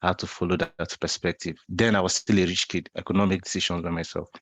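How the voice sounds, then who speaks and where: Nigerian man, twenties, deep monotone voice, dry close sound, video call talk, restarts sentences. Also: quick pace, no room sound. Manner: conversational, repeats words, restarts phrases. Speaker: guest speaker. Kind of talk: online talk, personal story.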